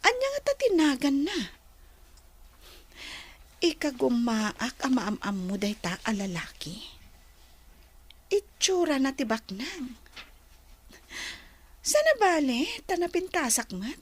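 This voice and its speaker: Resentful young daughter, very high swooping voice, proud, dramatic radio play delivery. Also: female voice, very animated, slow, long pauses, full character voice. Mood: dreamy, scheming. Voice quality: high-pitched voice.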